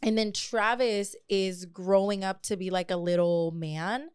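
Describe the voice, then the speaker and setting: Clear-voiced young woman, candid. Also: medium pitch, fairly even intonation, measured pace, no room sound, studio quality. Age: late 20s. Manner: candid, slangy, code-switches into Spanish, swears freely. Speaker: podcast co-host. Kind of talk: casual sibling podcast chat.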